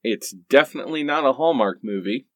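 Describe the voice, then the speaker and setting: A man's voice: medium pitch, expressive, brisk, a bit bright, dry recording, clean studio sound. A man playing an exasperated, melodramatic, sarcastic character in a comedic radio play. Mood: resigned.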